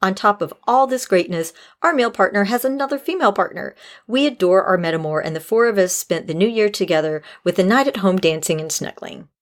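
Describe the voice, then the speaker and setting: A woman's voice: medium pitch, expressive, brisk. A woman hosting a podcast in a conversational style, giving advice and talking to listeners. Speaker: podcast host.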